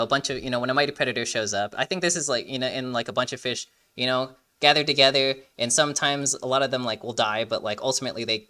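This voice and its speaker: A man's voice: mid-range voice, sharp tone. A young man giving casual, rambling, emphatic commentary as a reaction video host.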